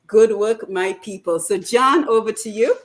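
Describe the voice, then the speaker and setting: A woman's voice: mid-range voice, moderate pace. Woman, radio show host, mid-range voice, reads viewer comments, hands over to guests. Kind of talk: radio talk show hosting.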